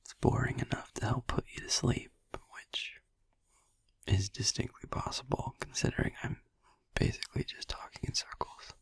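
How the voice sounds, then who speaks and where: A man playing a sleepy, gentle, reassuring vampire boyfriend in a whispered sleep-aid audio roleplay, rambling in a hesitant, breathy, husky murmur. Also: no echo, lightly acted. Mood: drowsy, rambling. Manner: rambling, hesitant, halting, drowsy.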